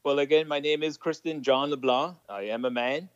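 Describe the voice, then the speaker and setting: A man's voice: a little high, normal speed, no room sound. Man, Acadian French accent, French native speaker, chatty guest, dull lo-fi line, dry sound. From Nova Scotia, Canada. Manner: chatty, laughs at his own jokes. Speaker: interview guest. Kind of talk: casual storytelling interview.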